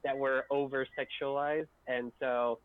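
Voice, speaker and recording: A man's voice: mid-range voice, flat delivery, measured pace. A man chatting casually on a group livestream, explaining things to the others. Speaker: stream co-host. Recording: dull, lo-fi voice chat audio.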